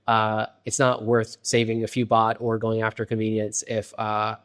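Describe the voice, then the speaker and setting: A man hosting an interview podcast, chatty and conversational, often checking in with a quick "right?". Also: lowish voice, monotone, fairly bright tone. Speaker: podcast host.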